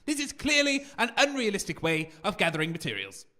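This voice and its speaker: An actor playing a grumpy, anxious radio co-host in a comic audio drama, fretful, complaining and dramatic. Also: male voice, sits high, wildly swooping pitch, brisk, sharp tone, heavily acted. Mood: scornful.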